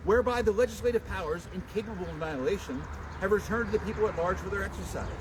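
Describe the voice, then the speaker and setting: A man giving a dramatic, declamatory public reading of a historical document in deliberate oratory, outdoors. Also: high-pitched. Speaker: public reader at a commemoration. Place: outdoors at a memorial site. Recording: noisy outdoor live stream, traffic.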